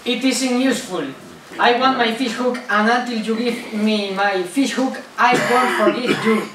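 A young woman plays an unforgiving, stern fisherman brother in a puppet show. The voice is a bit high and heard from afar, with clear noise and echo. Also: fairly even intonation, normal speed, natural voice. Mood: stern, scolding. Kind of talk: amateur puppet show acting. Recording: echoey room, distant, noisy.

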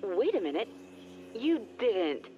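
An excitable younger sister in a video game. She speaks slowly in a high, very animated voice. The sound is slightly dull. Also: soft background music. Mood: excited disbelief.

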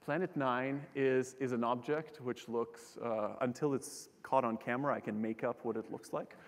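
Young male speaker, mid-pitched, chatty science talk full of fillers and restarts, in a big reverberant hall. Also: fairly even intonation, moderate pace. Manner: casual and joking, with frequent fillers and restarts. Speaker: keynote speaker, astronomer. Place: large lecture hall stage.

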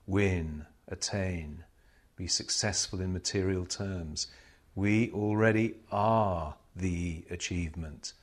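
A man speaks as a spiritual meditation teacher in a fairly low voice. His delivery is very slow and solemn. He sets out short, measured phrases, leaving pauses between them.

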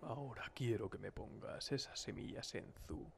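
A man playing a menacing, creepily controlling martial-arts hero husband in a cartoon parody, speaking slowly and lapsing into breathy whispers. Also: fairly low pitch, slight background noise. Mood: whispered menace. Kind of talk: cartoon parody fandub acting.

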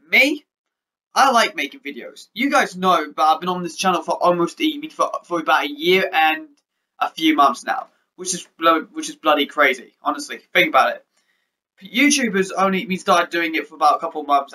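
High bright young man vlogging. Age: young adult. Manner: rambling, restarts often, says he stutters. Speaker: YouTuber. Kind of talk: casual vlog update. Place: bedroom with posters, indoors.

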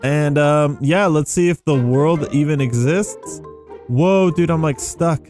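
High-voiced young man doing casual game commentary. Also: slow pace, darkish tone, faint music behind. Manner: easily amazed, rambling, thinking aloud. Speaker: gaming video host.

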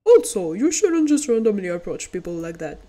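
A woman with a French accent voicing a gruff, dismissive businessman in a game. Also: medium pitch, sing-song, moderate pace, dark tone. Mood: curt. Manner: curt, dismissive. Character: gruff rude businessman in a suit.

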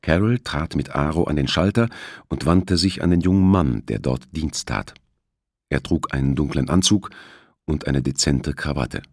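A man's voice: a bass voice, moderate pace, dry recording, clean studio sound. A man reading a novel aloud as a scripted audiobook narration.

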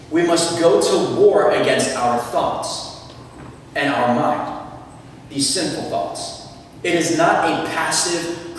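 Young man, earnest animated sermon, somewhat high voice, roomy church echo, distant mic, faint ambience. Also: slight background noise. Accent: American. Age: young adult. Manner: earnest, animated, gestures broadly while preaching. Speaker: preacher. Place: church sanctuary. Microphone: lectern mic, camera at back of room. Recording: echoey church from far off.

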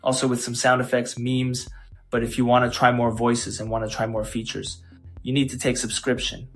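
A man hosting a tech channel, narrating a scripted software tutorial. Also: mid-range voice, flat delivery, normal speed. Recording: music behind.

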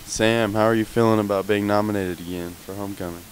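A man's voice: on the low side, fairly even intonation, quick pace, slight background noise. A teenage boy asking short questions in a school hallway, holding a handheld mic. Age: teens. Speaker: student interviewer.

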